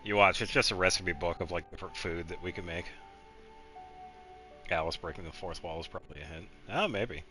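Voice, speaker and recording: A man's voice: low-pitched, fairly quick, sharp tone, faint music behind. A man giving casual, offhand playthrough commentary, with wry asides. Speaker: gaming streamer.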